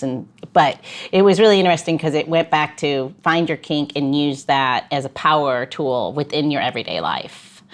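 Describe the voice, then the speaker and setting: A woman co-hosting a podcast in casual chat, rambling with frequent restarts and fillers. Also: sits low. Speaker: podcast co-host.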